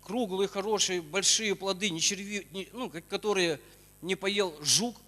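A middle-aged man preaches in short exhorting phrases, pausing for an interpreter. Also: sits high, bright, forceful tone. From Ukraine. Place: church auditorium stage. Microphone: handheld microphone. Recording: long hall reverb from church PA.

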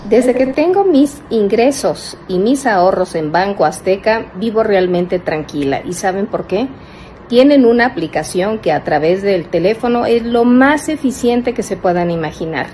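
A woman's voice: mid-range voice, darkish tone, slight background noise, low-quality recording. An older woman, a television presenter, giving a scripted endorsement in an upbeat, reassuring tone. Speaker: television presenter in a promotional clip. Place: indoor room, webcam-style video.

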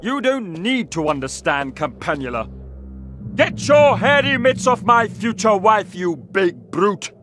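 A blustering upper-class English hunter for a cartoon game. He is theatrical and aggressive, pitched very high, drawing words out slowly over clear music. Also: very animated. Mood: possessive, commanding. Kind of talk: animated comedy game cutscene acting. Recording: music behind the voice.

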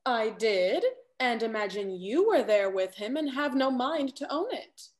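A woman's voice: on the high side, animated, unhurried. A young woman playing a scheming, falsely sweet genteel confidante in a Restoration comedy, with an affected, gossipy manner. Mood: feigned concern. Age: young adult. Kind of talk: theatrical period stage acting over video call. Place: indoor room at home, on video call.

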